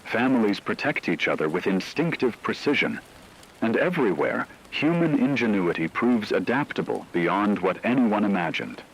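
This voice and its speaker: Male narrator for dramatic scripted story: deep, unhurried, grave and portentous, over faint ambient noise. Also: expressive, vocal fry, poor audio. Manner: grave, measured, portentous delivery with deliberate pauses.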